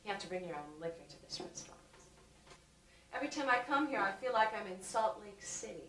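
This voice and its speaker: An actress playing a teasing, wisecracking sister. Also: female voice, medium pitch, lively intonation, fast talker, fairly bright tone, far from the mic, a little hiss or hum, natural voice. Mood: wry. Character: sardonic, teasing woman, a smoker. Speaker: visiting sister. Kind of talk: film dialogue. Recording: echoey room, mic from afar.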